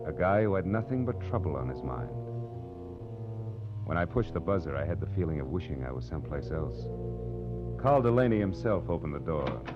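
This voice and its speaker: Hardboiled male detective, very low voice, sardonic deadpan, radio noir, dull old recording with music behind. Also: brisk. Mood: brooding, wry. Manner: deadpan, world-weary, sardonic. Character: weary, wry, hardboiled male private detective. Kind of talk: 1940s radio noir acting and first-person narration.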